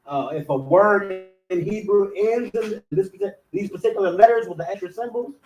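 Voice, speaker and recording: A man leading a class, talking loosely and casually, with frequent restarts and the repeated tag "you know what I'm saying". His voice sits a bit high for a man, and he sounds set back from the microphone. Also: normal speed, far from the mic, lo-fi sound. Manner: chants letter names loudly. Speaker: class teacher. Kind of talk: casual teaching of an alphabet class.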